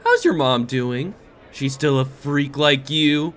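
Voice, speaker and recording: A man's voice: fairly high pitch, takes it slowly, a bit bright. A man playing a mocking, sneering teenage school bully in a comic dub. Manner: mocking, drawling.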